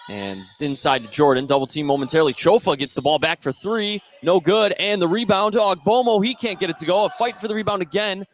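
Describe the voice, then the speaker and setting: A man's voice: sits high, quick pace, hardly any pauses. Male basketball play-by-play announcer, noisy gym. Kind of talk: live basketball radio commentary. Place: indoor basketball gym, live game. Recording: noisy live gym broadcast.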